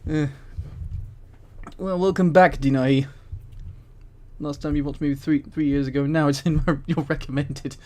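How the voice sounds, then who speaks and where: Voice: medium pitch, fairly even intonation, a little slow, pauses often, warm tone. Amused, incredulous live streamer reading aloud. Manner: amused, often laughing while reading; incredulous, mildly sweary asides. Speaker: streamer and host. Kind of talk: live stream reading and commentary with casual chat.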